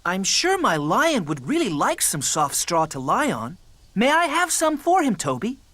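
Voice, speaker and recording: A woman voicing an eager, earnest young male steam engine in a children's cartoon, with a polite, excitable manner. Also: medium pitch, a little slow, no room sound, exaggerated voice. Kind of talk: children's cartoon character acting.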